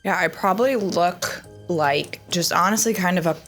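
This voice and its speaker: A woman's voice: fairly low pitch, normal speed, fairly bright tone, soft background music. A woman player at a tabletop game, talking casually with lots of filler words. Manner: chatty, with many fillers like "like". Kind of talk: casual in-character play.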